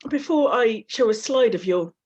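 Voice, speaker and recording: A woman hosting an online talk, asking hesitant, halting questions with many fillers. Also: on the high side, expressive, darkish tone, husky, dull audio. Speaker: interviewer, event host.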